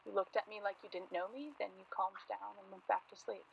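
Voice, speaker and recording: A woman playing a worried yet tender, teasing 1940s journalist in a naturalistic radio play, with a husky voice. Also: mid-range voice, steady tone, brisk, heavily acted. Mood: anxious. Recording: dull lo-fi recording.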